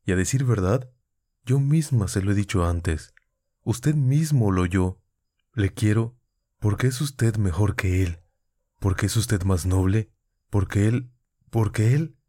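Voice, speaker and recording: A man's voice: on the low side, slow, long pauses, dark tone, dry recording, clean studio sound. A man voicing an impassioned, tearful young woman in love, speaking urgently and pleadingly. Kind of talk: audiobook character voice.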